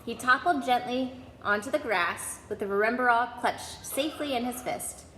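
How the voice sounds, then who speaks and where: A woman's voice: on the high side, moderate pace, sharp tone. A woman reading a children's novel aloud as a storyteller. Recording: echoey hall, faint background noise.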